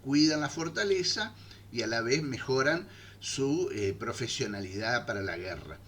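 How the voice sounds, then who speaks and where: A man giving an unscripted history lecture, filling pauses with "eh" and checking in with his listeners as he goes. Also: medium pitch, animated, fairly bright tone, a little hiss or hum. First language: Spanish. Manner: thinks aloud with frequent "eh" fillers and "¿eh?" checks. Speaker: history teacher.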